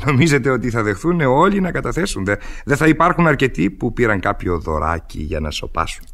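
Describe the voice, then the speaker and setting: A man's voice: mid-range voice, big pitch swings, fairly bright tone. An actor playing a shrewd, jovial male police inspector in an old radio drama, who hesitates and fills his speech with sounds. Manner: hesitant, with many filler sounds. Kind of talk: 1970s Greek radio drama acting. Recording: noisy old radio recording.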